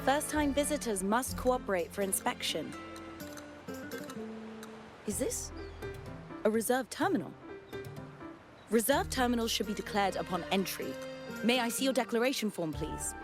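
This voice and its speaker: A woman's voice: fairly high pitch, expressive, normal speed, pauses often, close to an everyday voice. A woman playing a dutiful customs officer of a religious order in a video game, polite and formal in an official way. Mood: officious. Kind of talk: scripted video game voice acting. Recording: game music behind the voice.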